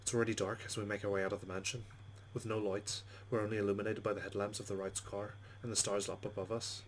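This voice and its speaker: A man's voice: fairly low pitch, little pitch movement, fast, a little hiss or hum. A man hosting a let's play, reading story text aloud and commenting casually on the game. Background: game music.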